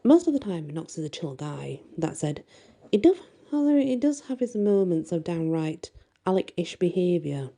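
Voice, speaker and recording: A woman reading a first-person bedtime story expressively, with a soft voice. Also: on the low side, sing-song, unhurried. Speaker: audiobook reader and storyteller.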